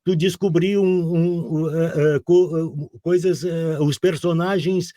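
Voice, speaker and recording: A man's voice: fairly high pitch, steady tone, takes it slowly, mellow, no echo. A man in his sixties from Rio Grande do Sul, a guest chatting in a rambling way and often checking in with the listener. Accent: Rio Grande do Sul Portuguese. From southern Brazil. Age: 60s. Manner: rambling, keeps asking "sabe?". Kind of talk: casual interview chat.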